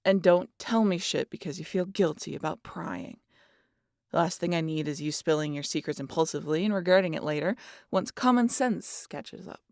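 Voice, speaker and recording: A woman playing a sardonic, guarded vampire in an intimate audio roleplay, with a gravelly, husky voice and a teasing, exasperated manner that slips into breathy whispers. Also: lowish voice, moderate pace, darkish tone, no room sound, studio quality. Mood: exasperated, defensive. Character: sardonic, guarded female vampire hypnotizing an injured hunter.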